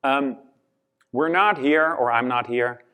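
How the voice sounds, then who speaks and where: A man's voice: medium pitch, takes it slowly, sharp tone, clean tone. A man from the Netherlands with a Dutch accent, giving a conference presentation with many fillers and restarts. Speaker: conference speaker.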